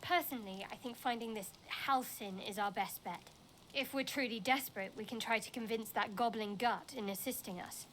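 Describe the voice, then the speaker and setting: A woman voicing a guarded, devout young cleric of a dark goddess in a video game, speaking in a cool, measured manner. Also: fairly high pitch, slight background noise, lightly acted. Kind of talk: video game dialogue acting.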